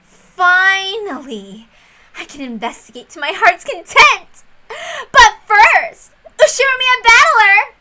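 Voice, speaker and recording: A woman's voice: very high voice, animated, takes it slowly, sharp tone, pitched above the performer's own voice, full character voice. A woman voicing a smug, intelligent young female detective in a visual novel reading, theatrical, commanding and ruthless. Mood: gleeful, ruthless.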